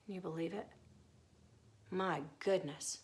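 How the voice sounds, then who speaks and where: A woman who is a teacher reading a picture book aloud to children, expressive and emphatic, with asides to her young listeners. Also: fairly low pitch, measured pace.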